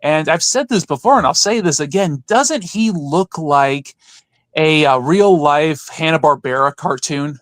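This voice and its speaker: A man's voice: fairly high pitch, a little slow, a bit bright, dry recording. A man in a casual, chatty podcast conversation, telling anecdotes as a co-host. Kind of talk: casual chat about wrestling.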